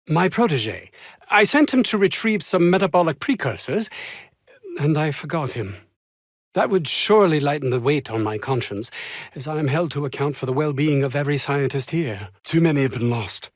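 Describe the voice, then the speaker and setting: A man's voice: on the high side, no room sound, studio quality. An actor playing a pompous, anxious, self-important male research scientist in a video game, speaking in wordy, formal phrases with flustered hesitations. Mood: aggrieved, self-pitying. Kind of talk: video game character dialogue.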